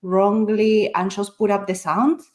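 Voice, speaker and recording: A woman's voice: mid-range voice, level tone, a little slow, a little mellow. A woman chatting casually as a panelist in a book discussion. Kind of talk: casual chat.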